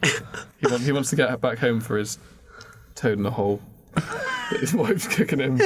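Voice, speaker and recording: A man's voice: mid-range voice, sing-song. Man improvising a story over faint noise. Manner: halting, informal, piecing a story together. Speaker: podcast co-host. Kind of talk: improvised storytelling, casual chat.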